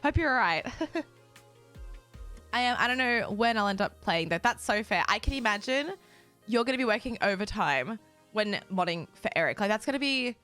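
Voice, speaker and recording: A young woman streaming a game and chatting casually with her viewers, bubbly and quick to laugh, her voice a little raspy from just waking up. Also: medium pitch, expressive, bright, forceful tone, faint music behind. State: slightly raspy, just woken up. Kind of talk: casual chat with a live stream audience.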